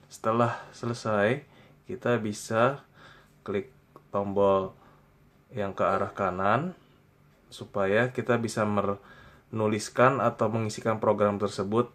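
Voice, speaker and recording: Slow-talking Indonesian man giving a tutorial. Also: fairly low pitch, fairly even intonation, fairly bright tone. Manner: plain, instructional, pausing between short phrases. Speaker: tutorial presenter. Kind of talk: step-by-step software tutorial.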